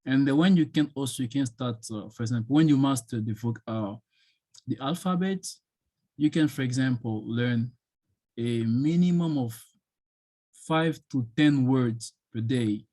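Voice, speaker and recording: A man whose first language is French, answering questions as a guest speaker in an online session, pausing often with fillers. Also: medium pitch, mellow, dry recording, studio quality. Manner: hesitant, many fillers, thinking aloud. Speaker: guest speaker on studying abroad.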